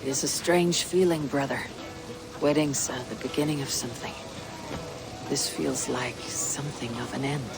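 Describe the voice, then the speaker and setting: A woman voicing a steady female Viking clan leader who speaks in a measured, formal way, officiating a wedding and reflecting quietly. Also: very deep voice, vocal fry, rough recording. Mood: reflective. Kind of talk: video game voice acting. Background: music.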